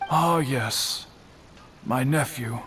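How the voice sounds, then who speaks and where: A man playing an earnest, worried uncle with formal, measured speech in an anime game. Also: mid-range voice, noisy background. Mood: resigned worry. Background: clear background music.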